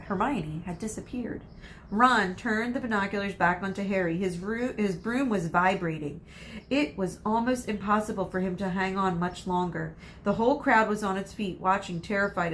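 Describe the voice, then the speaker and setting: Female read-aloud storyteller, medium pitch, expressive and dramatic, slight background noise. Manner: dramatic emphasis, pauses for suspense.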